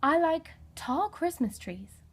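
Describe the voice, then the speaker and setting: A woman's voice: extremely high pitch, animated, takes it slowly, voice pushed up, exaggerated voice. A woman voicing an opinionated young boy from a children's storybook, speaking in an emphatic, childlike way.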